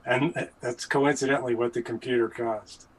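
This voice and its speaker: A man's voice: medium pitch, fairly even intonation, normal speed, poor audio. A man chatting casually as a guest on a panel. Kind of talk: casual chat. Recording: faint background noise.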